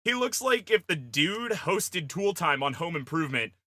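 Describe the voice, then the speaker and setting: A man bantering casually on a comedy podcast, pitching ideas in a blunt, profane, emphatic way. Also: sing-song, normal speed, sharp tone, dry recording. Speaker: podcast panelist pitching a film idea.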